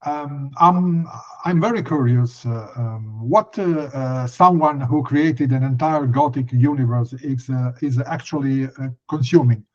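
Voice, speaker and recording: A man's voice: mid-range voice, a little slow, few pauses. Hesitant Italian-accented male interviewer, dull sound. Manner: hesitant, searching for words. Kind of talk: interview questions.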